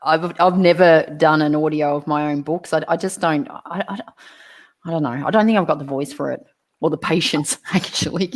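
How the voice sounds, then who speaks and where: A woman's voice: sits low, moderate pace. A woman hosting a live online interview, enthusiastic and encouraging toward her guest. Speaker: host.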